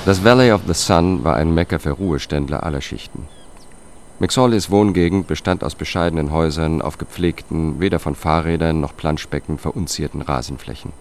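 An actor playing a weary, melancholic male investigator who narrates his own story in a measured, subdued way for a radio drama. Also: very deep voice, a little mellow, a little hiss or hum. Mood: sober, factual.

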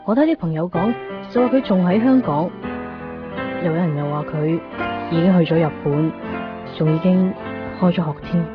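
An actress playing a wistful, reflective narrator in a radio drama monologue, measured and pausing, with a Hong Kong Cantonese accent. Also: female voice, on the low side, lightly acted. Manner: measured, pausing between short phrases. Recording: muffled 1990s radio, guitar music behind.

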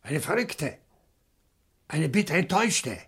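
A shrewd professor sleuth in a radio crime drama. His voice is husky and sits a little high for a man. He builds his case slowly and theatrically, with an indignant edge. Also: big pitch swings, fairly bright tone, full character voice. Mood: grim, resolute. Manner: deliberate, theatrical, reasoning step by step. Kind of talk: German radio crime play acting.

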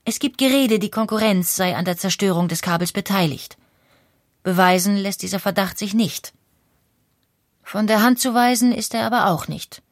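Calm woman narrating a documentary. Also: mid-range voice, no echo, clean studio sound.

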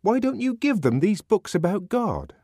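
Man putting on an earnest, high-voiced young outsider. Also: sing-song, unhurried, a little mellow, dry recording, clean studio sound. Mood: indignant. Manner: hesitant, earnest, literary phrasing. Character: earnest, indignant, naive young male outsider reared on Shakespeare.

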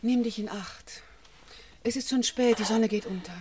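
An actress playing the anxious, imploring wife of a dying man in a radio drama, speaking in a breathy, pleading way. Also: on the high side, moderate pace, very noisy, lightly acted. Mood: admonishing.

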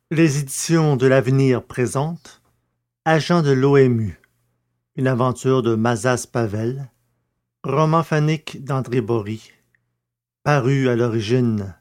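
A man's voice: medium pitch, darkish tone. A man presenting scripted podcast announcements as the host.

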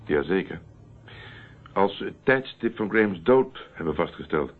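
A shrewd male investigator in an old radio drama. His deep voice swings widely as he presses with dry questions. It sounds phone-like, with a little noise. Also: lively intonation, normal speed. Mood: probing. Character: shrewd, sardonic male investigator, probing and unafraid. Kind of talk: Dutch radio drama acting.

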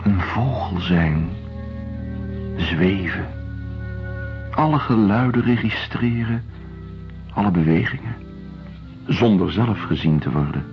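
A deep-voiced, wistful researcher in a radio drama. He speaks slowly and reflectively, weary and sceptical, with music behind him on a dull recording. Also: animated, full character voice. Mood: weary, dreamy. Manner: measured, pausing between short reflective phrases. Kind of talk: radio drama acting, lyrical and slow.

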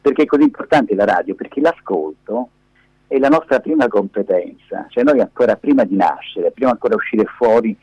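A man's voice: lowish voice, lively intonation, a little fast, darkish tone, breathy edge, no room sound. A man in his fifties, a guest on a phone call to a radio show, talking conversationally and emphatically, repeating key words. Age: 50s. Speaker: radio interview guest, performing media teacher. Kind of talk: animated conversational storytelling. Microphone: telephone. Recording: dull, muffled phone call.

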